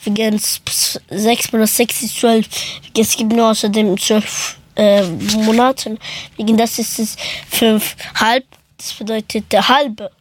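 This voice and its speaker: A nine-year-old girl, halting and searching for words, who often repeats herself in casual chat. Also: on the high side, level tone. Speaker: child interviewee.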